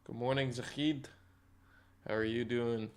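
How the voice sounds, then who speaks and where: A man live-streaming day-trading commentary, hoarse and losing his voice, who chats with viewers and walks through charts in a casual way. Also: mid-range voice, steady tone, a little slow, a little mellow. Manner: chatty, greets chat viewers by name.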